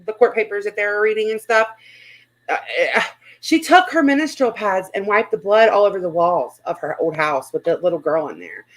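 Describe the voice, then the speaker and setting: Woman livestream host, mid-pitched voice; casual, blunt, foul-mouthed commentary. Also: fairly bright tone, low-quality recording. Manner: blunt, frequent swearing.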